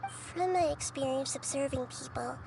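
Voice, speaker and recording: A woman voicing a small, wise, childlike goddess in an anime game, speaking softly and thoughtfully in measured phrases. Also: sits high, vocal fry, a crowd in the background. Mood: hesitant, troubled.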